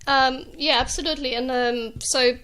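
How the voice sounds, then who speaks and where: A woman whose first language is not English speaks as a guest in a radio studio. Her voice is bright and clear, and she talks in a measured, careful way with many hesitant pauses. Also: high-pitched, steady tone. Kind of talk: radio talk show testimony.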